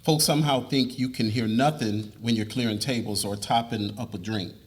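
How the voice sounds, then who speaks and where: A man playing an earnest, devout young suitor in a live dramatic reading, speaking in a measured, persuasive way. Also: medium pitch, steady tone, close to an everyday voice. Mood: eager, friendly. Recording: noisy live room recording.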